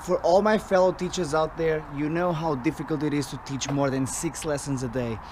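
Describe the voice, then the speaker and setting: A tired, hoarse man, a drum teacher, talking casually to camera in a vlog about his teaching day. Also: fairly high pitch, steady tone, fairly quick, noisy background.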